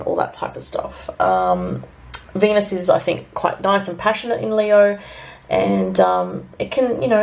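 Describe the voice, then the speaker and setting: Raspy, gravelly Australian woman. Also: lowish voice, a little mellow. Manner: rambling, filler-heavy, thinking aloud. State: raspy from recent illness. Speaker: astrologer and tarot reader. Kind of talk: casual weekly astrology and tarot forecast.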